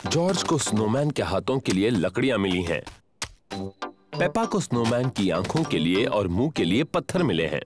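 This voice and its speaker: A man playing the friendly narrator of a preschool cartoon, telling the story in simple, clear storybook sentences. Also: lowish voice, sing-song, normal speed. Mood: gentle telling. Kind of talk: scripted children's cartoon narration. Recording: music behind the voice.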